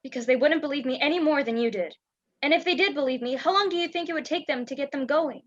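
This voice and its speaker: A woman's voice: sits high, quick pace, a crowd in the background, close to an everyday voice. A young woman playing a frightened, secretive spy in an amateur radio play, speaking urgently and dramatically. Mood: urgent, grave. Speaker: student actor in a school radio play. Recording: dull lo-fi home recording.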